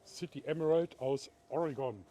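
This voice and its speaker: A middle-aged man from Bavaria with a German accent, chatting casually while walking outdoors and talking to viewers in a live video, moving between German and halting English. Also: fairly high pitch, warm tone. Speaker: livestream vlogger. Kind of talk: casual live walk-and-talk. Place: outdoors in a town at night.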